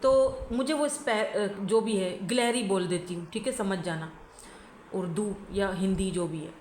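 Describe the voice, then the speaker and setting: A woman giving a casual tarot reading, hoarse from a sore throat and flu, chatty and often checking in with her listener while mixing in English words. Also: medium pitch, measured pace, a little mellow, slight background noise. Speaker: tarot reader. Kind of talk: casual pick-a-card reading to camera. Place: indoors at home by a window. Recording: echoey room.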